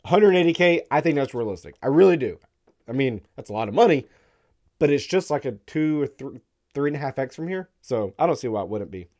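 A man in his thirties hosting a crypto live stream, hoarse and losing his voice, talking bluntly with wry laughs into a studio mic. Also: medium pitch, big pitch swings, fairly quick, a little mellow. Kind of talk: casual opinionated commentary. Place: dark studio room, indoors. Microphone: studio mic on stand in front.

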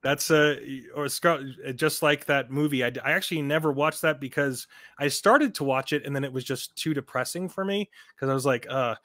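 A man's voice: fairly high pitch, moderate pace, a bit bright, no echo, studio quality. A middle-aged man hosting a livestream, giving casual commentary in an emphatic, rambling way and often repeating his phrases. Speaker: livestream host. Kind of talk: casual commentary on a livestream, reading articles aloud.

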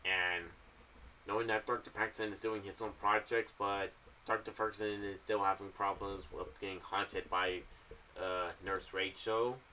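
A young American man giving casual, meandering commentary to camera in a halting, loose way. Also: fairly low pitch, flat delivery, pushed and bright, recorded from a distance, slight background noise, rough recording. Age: young adult. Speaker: video creator giving theories on an audio drama series. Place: small room indoors. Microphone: boom-arm condenser with pop filter, off to the side.

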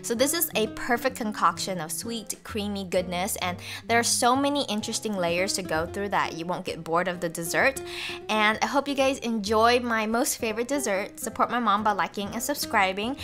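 A woman's voice: a little high, brisk, over music. A young woman narrating a home recipe video, walking through each step cheerfully as the channel's host. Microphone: voice-over.

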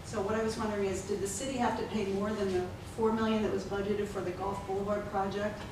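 A woman's voice: mid-range voice, little pitch movement. Woman reading a statement in an echoey chamber. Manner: measured, reading from notes, formal. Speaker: resident giving public comment. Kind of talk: prepared statement read at a podium. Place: city commission chamber. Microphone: podium gooseneck mic. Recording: echoey chamber, mic at a distance, noisy.

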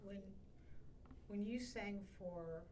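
A woman interviewing a guest in a chatty, encouraging way. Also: poor audio. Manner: chatty, encouraging, quick back-channel replies. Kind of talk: casual interview questions. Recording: off-mic voice with faint rumble.

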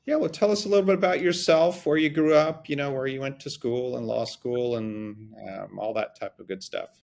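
A middle-aged man hosting a podcast about divorce law over a video call from his office, explaining in a relaxed way and repeating words as he thinks. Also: medium pitch, moderate pace, vocal fry. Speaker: host, family law attorney. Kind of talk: casual explanatory podcast talk. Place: office with framed map, indoors. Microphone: video call webcam.

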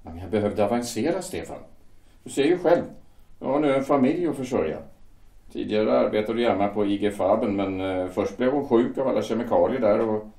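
A man's voice: on the low side, expressive, mellow. An actor playing a worried shipyard foreman and family man who speaks in a hesitant, defensive way. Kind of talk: amateur audio drama acting. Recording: echoey room.

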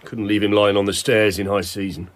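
An actor playing a chatty, deferential police sergeant in a radio drama. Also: male voice, lowish voice, little pitch movement, a little fast, close to an everyday voice. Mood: deferential, brisk.

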